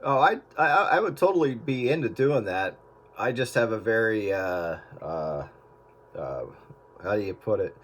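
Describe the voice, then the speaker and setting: A man's voice: lowish voice, expressive, measured pace. A man in a casual radio chat, joking and rambling with frequent restarts. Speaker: radio hobbyist on an on-air group chat. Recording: heavy static radio transmission.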